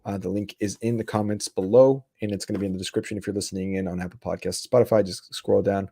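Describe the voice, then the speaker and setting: A man with a fairly low voice hosts a podcast in loose, casual chat. He is upbeat and rambles, restarting sentences and filling gaps with "uh" and "um". The sound is dry and close, with no room around it. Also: fairly even intonation, fast talker, creaky, warm tone, husky, no echo. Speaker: podcast host. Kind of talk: casual podcast chat with sponsor shout-outs.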